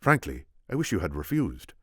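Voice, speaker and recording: A man voicing a wary, soft-hearted Spanish swordsman, with an attempted Spanish accent and a reluctant, measured manner. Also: low voice, huge pitch swings, slightly rough, dry recording, studio quality, exaggerated voice. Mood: uneasy.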